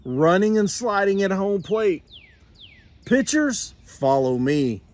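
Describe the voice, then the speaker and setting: A storybook mouse captain played by an older man, eager and bossy. He calls out orders in a raised, swinging pitch with slow, punchy emphasis. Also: big pitch swings, heavily acted. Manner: shouting orders, rallying.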